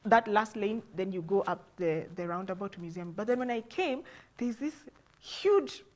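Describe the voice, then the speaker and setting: A Kenyan woman in her thirties, a guest on a talk show, telling relaxed stories. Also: mid-range voice, moderate pace. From Nairobi, Kenya. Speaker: guest, doula. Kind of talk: interview answers.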